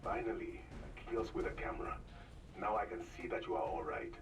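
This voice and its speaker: Optimistic middle-aged father and scientist, played by a man: quick, earnest, heard from afar through noise. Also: low-pitched, natural voice. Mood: fond, curious. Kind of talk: dramatic game audio drama acting. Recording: distant, noisy kiosk link.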